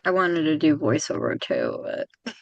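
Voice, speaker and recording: Chatty youthful-sounding woman host, lowish pitch. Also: huge pitch swings, unhurried, gravelly, no room sound, highs cut off. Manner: chatty, rambling, with frequent restarts. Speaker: podcast host. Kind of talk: casual interview chat.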